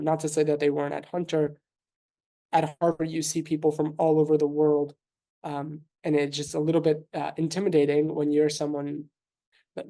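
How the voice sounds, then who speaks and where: A man in his thirties from New York City whose first language is Russian, a physician guest answering interview questions in a thoughtful, measured way with frequent fillers and restarts. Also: on the high side, creaky, dark tone.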